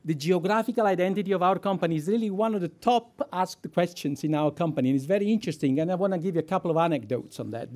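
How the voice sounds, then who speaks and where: A man's voice: on the high side, dark tone. A man from Italy with an Italian accent, speaking on a conference panel with wry good humor. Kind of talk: panel talk. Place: conference hall.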